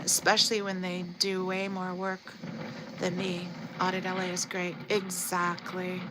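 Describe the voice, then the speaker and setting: A woman's voice: mid-range voice, bright, forceful tone, slight background noise, low-quality recording. A woman hosting a casual livestream, talking at length and reading chat aloud, her throat sore and her voice starting to fade. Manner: talkative, reads chat comments aloud. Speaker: livestream host.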